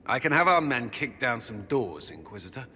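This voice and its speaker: An actor playing a stern, earnest military commander in a fantasy video game, speaking in a formal, measured way. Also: male voice, mid-range voice, sing-song, sharp tone, slight background noise. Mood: grave, explaining. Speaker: army commander. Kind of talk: dramatic video game dialogue.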